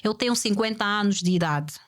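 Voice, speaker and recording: A woman guest speaks in a reflective, measured way, with a voice of ordinary pitch for a woman. She stretches some phrases slowly, poses rhetorical questions and repeats her main points for emphasis. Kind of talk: reflective podcast conversation.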